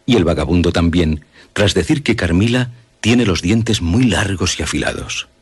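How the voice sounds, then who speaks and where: A husky-voiced man with a Castilian Spanish accent giving a measured, portentous dramatic recap as a radio announcer. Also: sits low, animated. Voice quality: husky, rough. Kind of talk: dramatic scripted recap narration.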